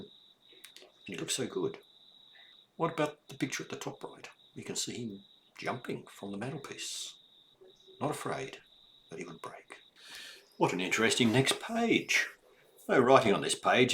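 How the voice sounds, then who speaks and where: An older man reads a children's story aloud in an animated way, pausing to explain and to ask the young listener questions. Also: on the low side, a little hiss or hum, poor audio.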